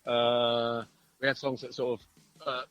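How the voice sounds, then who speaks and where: A man's voice: mid-range voice, little pitch movement, measured pace, fairly bright tone, clean tone, highs cut off, soft background music. A man, a musician being interviewed by phone on a headset, chatting casually in a jokey, rambling way. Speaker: band musician, interview guest. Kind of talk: casual chat. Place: outdoors, outside a pub. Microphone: phone call through Bluetooth headset.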